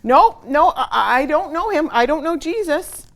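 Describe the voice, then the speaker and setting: A woman's voice: sits high, expressive, unhurried, voice pushed up. A woman voicing a brash, impulsive fisherman from a children's storybook, making emphatic, flustered protests. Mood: panicked denial.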